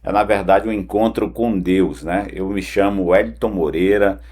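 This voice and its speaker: A man's voice: sits low, fairly even intonation, moderate pace, a little mellow. A man from Brazil, hosting a conversational morning devotional in an earnest, direct way and often adding tag questions. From Pará, northern Brazil. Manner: earnest, direct address with frequent "né" tags. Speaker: host of a morning devotional, former broadcaster. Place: home studio.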